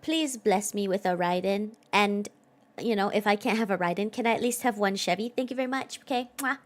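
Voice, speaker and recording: A woman's voice: medium pitch, fairly quick. Casual chatty woman livestreaming a game. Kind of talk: casual livestream chat while gaming.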